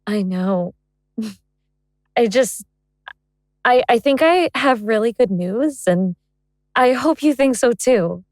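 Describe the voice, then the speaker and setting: A woman's voice: a little high, expressive, slow pace, long pauses, a little mellow, no room sound, studio quality. A woman playing a loving, hopeful young woman in an audio drama, tentative and tender with emotion. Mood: nervous, hopeful. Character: nervous, hopeful, loving young woman sharing big news.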